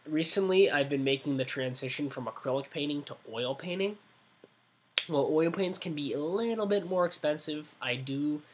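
A man's voice: mid-range voice, a bit bright, a little hiss or hum. A nineteen-year-old man chats loosely into a desk webcam in a small indoor room. He rambles, restarts his sentences and leans on fillers as he talks. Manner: rambling, self-correcting, many fillers. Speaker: art vlogger. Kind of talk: casual talk to webcam.

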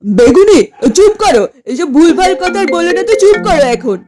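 Woman voicing an eager, high-pitched cartoon husband. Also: very animated, fairly quick, over music. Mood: exasperated. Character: eager, easygoing husband and lungi-selling businessman. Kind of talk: exaggerated comedy cartoon acting.

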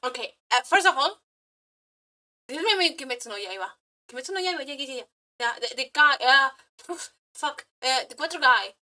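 A woman streamer giving casual live reactions, excitable, scattered and exclamatory, with some swearing. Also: high voice, fairly quick. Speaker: streamer reacting to game trailers. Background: background music.

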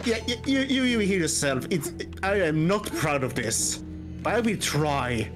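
A man's voice: sits high, sing-song, unhurried. A man chatting casually on a game stream, hesitant and full of restarts. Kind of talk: casual chat. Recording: game music behind.

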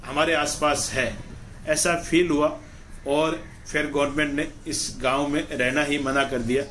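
A man narrating his travel vlog from a moving bus, talking loosely and informatively with restarts as he explains the place. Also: mid-range voice. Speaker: travel vlogger. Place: moving bus on a coastal road. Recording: noisy bus ride.

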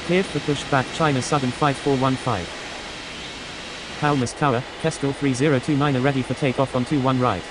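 A woman's voice: very deep voice, fairly even intonation, fairly quick. A woman playing a matter-of-fact airport radio operator in a simulation game, giving clipped, formulaic aviation calls. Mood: businesslike. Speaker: air traffic control and pilot radio voice. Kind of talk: scripted aviation radio phraseology. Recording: hissy, clearly noisy radio-style audio.

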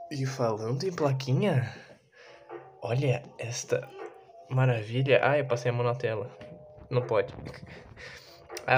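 A young man with a Brazilian Portuguese accent, rambling casually and playfully in a self-deprecating way, as in an informal vlog. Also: medium pitch. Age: young adult. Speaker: YouTuber. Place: small bedroom, indoors. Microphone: handheld camera or phone. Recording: noisy handheld camera audio with clicks.

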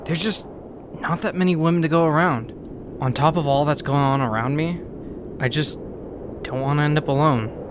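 A man playing an awkward, lonely young man, hesitant and halting, in a comic dub. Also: fairly high pitch, background noise, lightly acted. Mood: sheepish. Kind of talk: amateur comic dub acting.

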